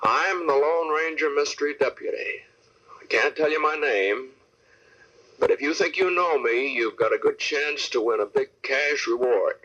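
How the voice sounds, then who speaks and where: An actor playing a secretive, eager western deputy in an old radio promotion. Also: male voice, a little high, moderate pace, bright, forceful tone. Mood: secretive, inviting. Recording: dull old radio recording.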